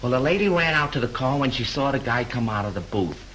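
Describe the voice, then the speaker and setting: An actor playing a chatty young hotel bellhop who tells a story in breezy slang, in 1930s film acting. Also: male voice, medium pitch, lively intonation, fast, fairly bright tone, natural voice, poor audio. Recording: hissy 1930s film soundtrack.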